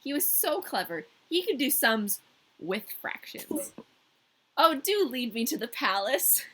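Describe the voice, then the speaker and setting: Woman as an earnest, high-voiced little girl. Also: very animated, a little slow, fairly bright tone, breathy edge, heavily acted. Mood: earnest, eager. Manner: earnest, pleading. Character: earnest, naive little girl, eager and trusting.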